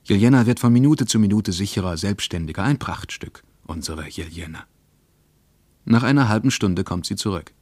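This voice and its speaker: An actor playing a male traveller reading his travel diary in a radio play, in a measured, observant literary manner. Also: fairly low pitch, lively intonation, a little mellow, no room sound. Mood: reflective.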